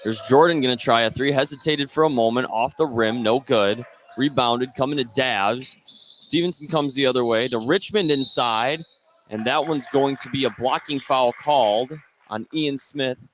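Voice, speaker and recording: A man's voice: mid-range voice, moderate pace, fairly bright tone. Man calling basketball play-by-play over game noise. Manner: narrates action in short clipped phrases. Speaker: play-by-play sports commentator. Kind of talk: live basketball radio play-by-play commentary. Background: basketball game with bouncing ball.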